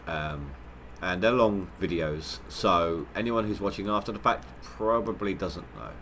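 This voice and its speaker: A man's voice: sits low, unhurried, a bit bright, a little hiss or hum. A man with a head cold, sounding nasal, giving rambling, stop-start commentary on his gameplay livestream. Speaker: gaming livestreamer.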